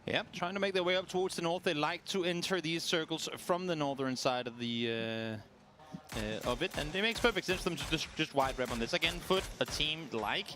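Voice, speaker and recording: A man doing color commentary on a competitive shooter match, analytical and measured, sometimes self-deprecating. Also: fairly high pitch, sharp tone, a little hiss or hum. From Denmark. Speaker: esports analyst caster.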